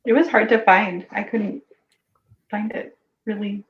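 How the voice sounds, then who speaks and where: A woman's voice: medium pitch, steady tone, measured pace. A woman chatting casually as a guest, heard through a roomy, dull, lo-fi recording. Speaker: guest book video creator. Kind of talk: casual chat. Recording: roomy echo, dull lo-fi sound.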